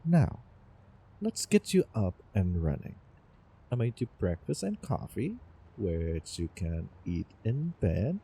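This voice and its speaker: A man's voice: lowish voice, very animated, vocal fry, warm tone, slightly rough, heavily acted. A man playing an obsessively devoted, doting time-traveling lover in an intimate roleplay audio drama, coaxing the listener. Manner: slow, intimate, coaxing, over-the-top devotion. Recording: background ambience, light noise bed.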